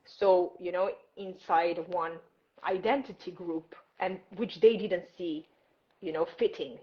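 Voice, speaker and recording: A woman's voice: on the low side, unhurried, dull audio. A woman from Armenia, a native Armenian speaker who grew up in several countries, chatting expressively as an English teacher and guest, acting out bits of dialogue. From born in Armenia, moved abroad at six and grew up in several countries. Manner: expressive, acts out reported dialogue. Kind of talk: animated casual conversation with personal anecdotes.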